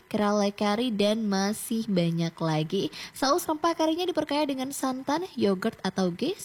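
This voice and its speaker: Lively young woman radio announcer. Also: a little high. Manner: lively, chatty, often addressing listeners directly. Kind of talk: scripted radio segment reading. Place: radio studio.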